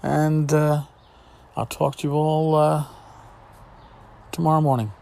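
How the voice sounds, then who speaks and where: A middle-aged man hosting a casual solo podcast, talking in a blunt, sarcastic, sweary way with emphatic repetition and pauses for effect. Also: a little high, level tone, creaky, a little mellow. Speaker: podcast host. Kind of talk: casual spoken podcast monologue.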